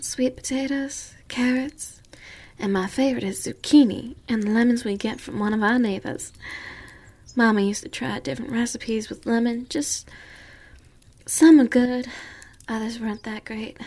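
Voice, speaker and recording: A woman playing a sweet, comforting young farmer's daughter with a Southern US accent in a gentle, folksy ASMR roleplay. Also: fairly high pitch. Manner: gentle, folksy, reassuring, giggly. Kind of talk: ASMR roleplay, intimate and slow.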